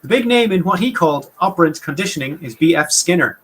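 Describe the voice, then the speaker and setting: A man with a Munster Irish accent explains things in a quick, chatty, wry way. His voice sits a little high and sounds bright and forceful. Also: animated, a little hiss or hum. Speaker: podcast host. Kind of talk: casual explanatory chat.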